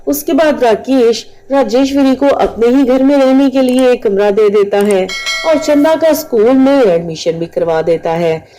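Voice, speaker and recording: A woman's voice: a little high, unhurried, warm tone, low-quality recording. A woman narrating a scripted moral story as the storyteller.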